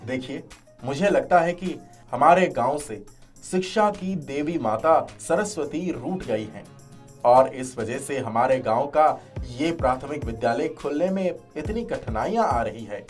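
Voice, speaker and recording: An earnest village man played by a male actor from a cartoon moral tale. He speaks politely and formally at a medium pitch, over background music. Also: expressive, measured pace, close to an everyday voice. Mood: devout, hopeful. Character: earnest, respectful village man rallying his neighbours. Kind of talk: cartoon moral-story dialogue.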